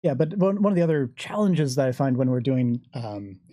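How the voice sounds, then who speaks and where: A man's voice: mid-range voice, animated, a little fast, mellow, no room sound, clean studio sound. A man talking casually as a game designer on a discussion panel.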